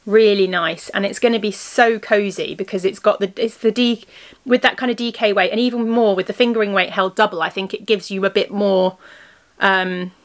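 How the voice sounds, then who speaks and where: Hoarse woman chatting about her knitting. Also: medium pitch, fairly even intonation, moderate pace. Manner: chatty and rambling, showing her knitting to the camera. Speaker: crafting podcast host and yarn shop owner. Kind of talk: casual video podcast chat.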